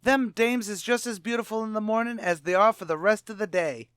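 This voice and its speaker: A man's voice: high voice, brisk, a bit bright, smooth, no room sound, clean studio sound. A man playing a brash, slangy, bluffing tavern manager who wisecracks in an old-time radio comedy. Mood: wisecracking. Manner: wisecracking, mock-grandiose.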